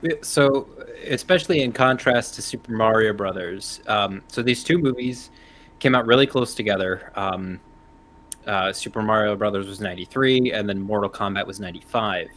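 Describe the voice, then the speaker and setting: A man on a panel, explaining his view in a casual, measured and analytical way. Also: fairly low pitch, a bit bright, poor audio. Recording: faint background noise.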